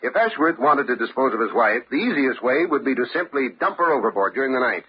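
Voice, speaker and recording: An actor playing a tough, shrewd homicide lieutenant in an old-time radio crime drama, with a brisk, assured manner. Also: male voice, mid-range voice, sing-song, lightly acted. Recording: dull, lo-fi old radio recording, faint noise.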